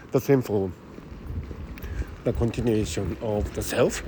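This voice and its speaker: A man with a Japanese accent thinking aloud in a halting monologue while walking city streets, speaking into a phone, full of fillers and restarts. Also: medium pitch, noisy background. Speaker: podcast host. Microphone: phone held close.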